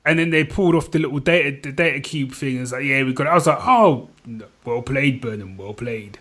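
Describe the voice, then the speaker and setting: A man co-hosting a podcast, chatting casually about TV shows in a slangy, animated storytelling way, often checking that his listener follows. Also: mid-range voice, a little fast. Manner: animated retelling, slangy, peppered with "you know what I mean". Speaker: podcast co-host.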